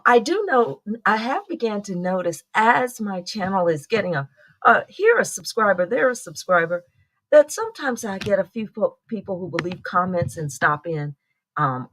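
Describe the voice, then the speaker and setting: A woman's voice: medium pitch, a bit bright, low-quality recording. A middle-aged woman hosting a casual morning livestream, rambling in a friendly way, greeting viewers by name and laughing often. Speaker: livestream host.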